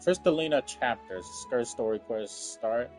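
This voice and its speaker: A man's voice: medium pitch, expressive, unhurried. A man giving offhand, slangy gameplay commentary and swearing freely. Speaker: game streamer. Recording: game music behind the voice.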